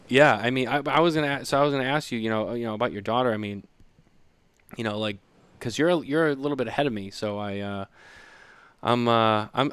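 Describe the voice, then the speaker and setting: A man's voice: mid-range voice, a bit bright, smooth, dry recording. A man in his thirties co-hosting a podcast, chatting casually in a rambling way full of fillers and repeats. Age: 30s. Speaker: podcast co-host. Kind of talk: casual chat.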